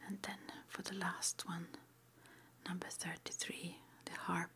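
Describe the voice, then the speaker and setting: A woman performs an ASMR roleplay entirely in a breathy whisper. Her delivery is very slow and gentle, with a lowish pitch and a crackle of creak in it. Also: flat delivery. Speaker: ASMR roleplay performer playing a witch school interviewer.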